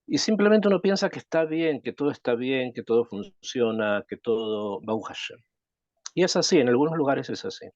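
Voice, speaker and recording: A man from Chile, a rabbi teaching a Torah class, reflective and thoughtful, asking rhetorical questions and pausing to think. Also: medium pitch, darkish tone, no room sound, dull audio. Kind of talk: Torah lesson.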